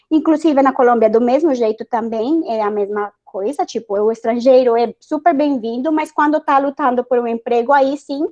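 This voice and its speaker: A woman's voice: a little high, darkish tone, lo-fi sound. A woman with a Spanish accent, Spanish being her first language, speaking earnestly as a scientist guest on an online panel, with many hesitations and restarts. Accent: Spanish-accented Portuguese. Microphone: video call.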